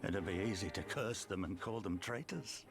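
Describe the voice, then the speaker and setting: An actor playing a bitter, grieving resistance officer in a video game, weary and impassioned. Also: male voice, deep voice, moderate pace. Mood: grave sorrow. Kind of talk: dramatic video game voice acting. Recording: game music behind the voice.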